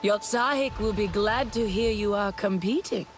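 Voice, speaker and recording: A woman playing a serene, solemn alien clan guide in a video game, measured and ceremonious in speech. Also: mid-range voice, soft background music, natural voice. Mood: solemn, welcoming. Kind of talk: video game dialogue acting.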